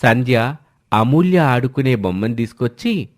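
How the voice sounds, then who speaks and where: A man's voice: medium pitch, lively intonation, normal speed. A man reading a scripted family drama story as the storyteller, in a dramatic narrating style.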